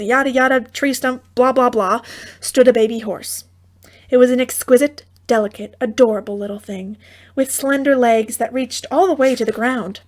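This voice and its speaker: Casting: female audiobook narrator for comic fairy tale, higher-pitched voice, droll and theatrical. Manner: theatrical, droll storytelling with wry asides.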